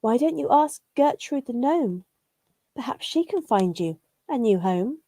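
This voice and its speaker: Cheerful storybook fish played by a woman: high, mellow, welcoming, sing-song delivery. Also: expressive, unhurried, no echo.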